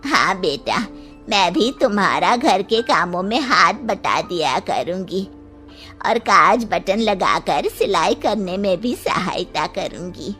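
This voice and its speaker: A woman's voice: medium pitch, expressive, takes it slowly, fairly bright tone, faint music behind, voice pushed down. Woman voicing a kindly older mother-in-law. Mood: soothing, supportive.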